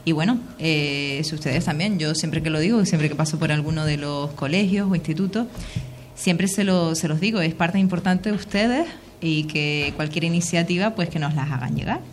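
A woman's voice: low-pitched, moderate pace, a little hiss or hum. A woman of thirty-one with a Canarian Spanish accent, answering informally in a radio interview, often repeating words as she searches for phrasing. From Lanzarote, Canary Islands, Spain. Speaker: local politician, youth councillor being interviewed.

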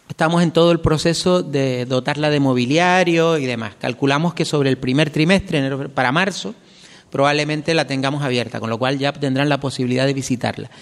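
Male guest, formal and explanatory, in a reverberant hall. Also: a little high. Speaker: interview guest. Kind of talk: formal interview answers.

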